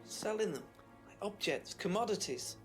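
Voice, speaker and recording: An actor playing an agitated, smug conspiracy theorist in a mystery video game, emphatic and know-it-all. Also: male voice, high-pitched, lively intonation, moderate pace, faint music behind. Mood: intense, insistent. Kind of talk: FMV mystery game acting.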